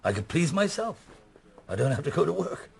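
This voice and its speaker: A man's voice: on the high side, very animated, brisk, creaky, a little mellow. A man acting in a radio play as a middle-aged, curious police inspector on holiday, with a hesitant, musing manner. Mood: musing, dry. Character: retired-seeming police inspector on holiday, mulling, curious, husband.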